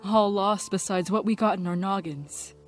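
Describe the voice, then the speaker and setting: A woman acting an expressive, brainy scientist in a zombie apocalypse audio drama. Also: medium pitch, moderate pace, soft background music, lightly acted. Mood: playful, upbeat.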